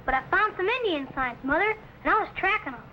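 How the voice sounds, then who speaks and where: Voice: very high voice, animated, fast talker, highs cut off. A performer playing a plucky frontier boy of eight or nine in an old drama, earnest and folksy. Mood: eager, boastful. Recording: noisy vintage serial recording.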